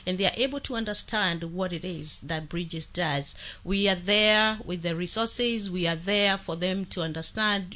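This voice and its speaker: Bright-voiced Kenyan-born woman, earnest. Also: mid-range voice. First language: Swahili. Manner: earnest, halting with many fillers. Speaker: outreach worker, radio ministry host. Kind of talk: radio talk show guest.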